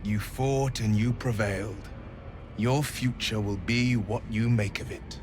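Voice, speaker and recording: An actor playing an earnest, noble young male adventurer in a fantasy game, speaking with measured sincerity. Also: lowish voice, fairly even intonation, music in the background, lightly acted. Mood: proud, reassuring. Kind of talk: dramatic fantasy game acting.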